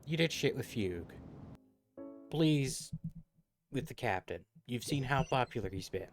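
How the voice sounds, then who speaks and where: A man's voice: mid-range voice, expressive, unhurried. A man livestreaming a game with casual, blunt, sweary commentary, often repeating himself. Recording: loud game music behind voice.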